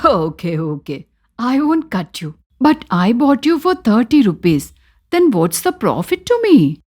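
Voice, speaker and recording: An aging mother-in-law from an animated Indian moral tale, acted by a woman. She has an Indian accent and exclaims and complains in a very animated way, bitter but loving underneath. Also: on the high side, a little slow. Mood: anxious.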